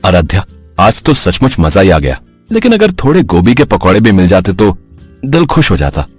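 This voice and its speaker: Guy playing a young family man, husky, lively pitch swings, coaxing, storybook. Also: normal speed, no room sound, faint music behind, full character voice. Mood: persuading. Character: easygoing young men of a household, husband and brother. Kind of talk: scripted story dialogue.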